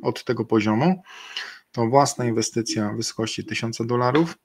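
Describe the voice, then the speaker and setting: A middle-aged man presenting slides in a webinar over a video call, halting and filling pauses with hesitations. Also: mid-range voice. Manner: halting, many fillers and restarts. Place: indoor room. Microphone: video call webcam.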